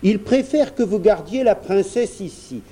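A man voicing a brave, courteous young trickster prince in a children's storybook, speaking calmly. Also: high-pitched, moderate pace, breathy edge, pitched above the performer's own voice. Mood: courteous, sly. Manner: courteous, calm.

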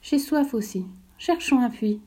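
Brief: woman playing a wistful little boy prince, raised bright childlike pitch, mellow tone. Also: expressive, measured pace, slightly rough. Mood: earnest. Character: gentle earnest small boy prince, wistful.

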